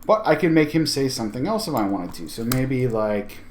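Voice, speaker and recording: A man talking informally through a software tutorial, thinking aloud as he goes. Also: mid-range voice, sing-song, moderate pace, a little hiss or hum. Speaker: tech tutorial host. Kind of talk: casual screen-share tutorial.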